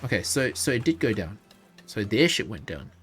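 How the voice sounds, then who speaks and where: A man's voice: lowish voice, big pitch swings, moderate pace, soft background music. A man giving casual live playthrough commentary as a game streamer, thinking aloud informally.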